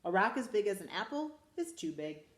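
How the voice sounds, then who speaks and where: Animated woman reading to children. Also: medium pitch, fairly quick, echoey room. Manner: animated, expressive storytelling to children. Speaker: school principal reading aloud. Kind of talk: children's read-aloud.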